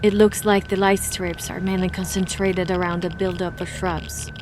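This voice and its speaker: A woman's voice: on the low side, level tone, normal speed, natural voice. A woman acting a focused, uneasy paranormal investigator in an audio drama. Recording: clear background noise.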